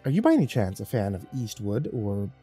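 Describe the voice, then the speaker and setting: A man's voice: on the low side, huge pitch swings, dark tone, husky, soft background music, pitched below the performer's own voice, heavily acted. A man voicing a gleeful, theatrical devil lord in a horror story, mocking and drawing out his words. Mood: teasing. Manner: mocking, drawn-out, exclamatory.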